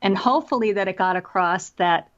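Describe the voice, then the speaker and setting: A woman's voice: medium pitch, brisk. An American woman talking conversationally as an interviewed author and voice actor, explaining things in a chatty way with quoted asides. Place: remote call from separate location. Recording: dull, lo-fi call audio.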